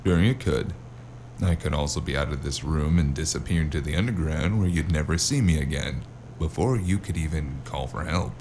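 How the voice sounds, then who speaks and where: A man playing a wary amnesiac drifter in a noir audio drama, hesitant and wry, with a very deep, gravelly voice. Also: normal speed, darkish tone, slight background noise. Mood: defiant. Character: wary amnesiac male drifter narrating his noir tale. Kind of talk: noir audio drama acting and first-person narration.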